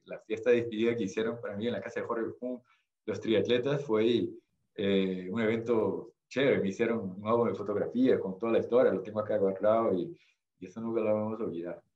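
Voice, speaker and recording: A man's voice: fairly low pitch, fairly even intonation, brisk, darkish tone, muffled recording. A man with a Brazilian accent and Portuguese as his first language, telling stories casually as a podcast guest and often searching for words. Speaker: podcast guest, amateur triathlete. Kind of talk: casual conversational storytelling.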